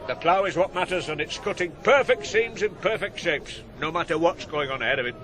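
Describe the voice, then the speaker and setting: A man's voice: on the high side, lively intonation, fairly quick, crowd noise, lightly acted. A man acting a shrewd, hearty older farmer in a radio drama. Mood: proud. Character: blustery older farmer, the ploughboy's shrewd boss. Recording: noisy old radio broadcast.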